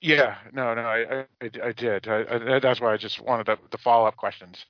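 A man with an American accent hosting a casual discussion podcast about a TV show, speaking in a rambling, halting way with repeated words. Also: mid-range voice, steady tone, muffled recording. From Massachusetts, United States. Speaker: podcast host.

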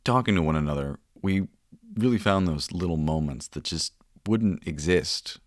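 Very deep-voiced man hosting a casual interview. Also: moderate pace, dry recording, studio quality. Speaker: podcast host and audio performer. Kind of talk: relaxed interview chat. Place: small closet home recording space. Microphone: close studio-style mic in a closet.

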